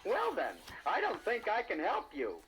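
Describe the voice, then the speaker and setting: A man's voice: high voice, wildly swooping pitch, normal speed, a bit bright, slight background noise, full character voice, low-quality recording. A man voicing a chatty, easygoing snail in a children's audio storybook, jaunty and matter-of-fact. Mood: easygoing, curious.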